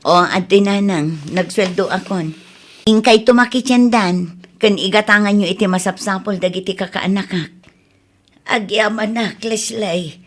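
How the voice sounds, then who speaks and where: A woman's voice: medium pitch, unhurried, soft background music, lightly acted. A woman with an Ilocano accent acting a concerned family member in an audio drama. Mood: warm.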